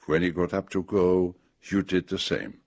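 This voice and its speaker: Shrewd older Belgian sleuth: French-speaking accent, low, dry studio sound, fussy and theatrical. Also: male voice, fairly even intonation, a little mellow, dry recording. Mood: methodical, explaining. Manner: precise, deliberate, theatrical. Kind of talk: radio drama acting.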